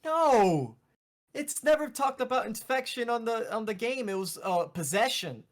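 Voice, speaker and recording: A man chatting casually about games and movies as a podcast co-host, in an excitable, emphatic, slangy way. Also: extremely high pitch, normal speed. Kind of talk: casual video game and movie chat.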